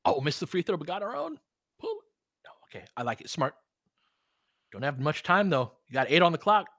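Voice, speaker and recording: A man doing casual fan play-by-play of a game as a host, in an excitable, slangy style with some swearing. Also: fairly high pitch, a little fast, dry recording, studio quality. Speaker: sports podcast host calling a game.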